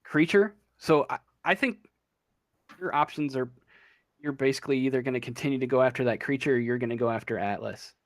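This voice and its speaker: A man acting as game master, narrating a monster's attacks in a loose, halting way with many fillers. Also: mid-range voice, no room sound, clean studio sound. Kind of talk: narrating a tabletop game over voice chat.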